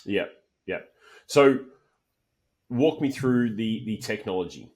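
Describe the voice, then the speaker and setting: A man's voice: lowish voice, expressive, takes it slowly, darkish tone. A man hosting a business interview podcast, asking questions in a casual, loose way. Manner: casual, with repeated words and fillers. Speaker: podcast host.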